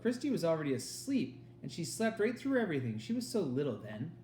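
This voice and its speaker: A man's voice: fairly high pitch, sing-song, a little fast, warm tone, roomy sound, slight background noise, pitched above the performer's own voice. A man voicing an earnest, reassuring young girl confiding in her best friend in a storybook reading. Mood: somber.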